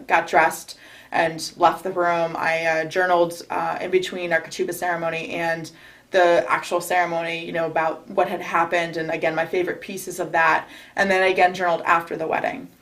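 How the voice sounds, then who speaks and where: A woman's voice: on the low side, little pitch movement, a little fast, fairly bright tone. Chatty young woman giving advice. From New York state. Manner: chatty, frequent restarts and fillers. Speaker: recently married bride, guest. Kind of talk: casual interview advice.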